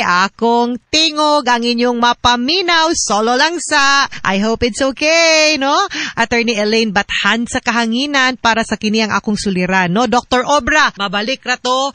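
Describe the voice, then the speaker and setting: A woman's voice: a little high, pushed and bright, dry recording. A woman with a Cebuano Filipino accent, speaking conversationally as a radio host and attorney giving legal advice, informally and with frequent tag questions. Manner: informal, code-switching, often adds tag questions.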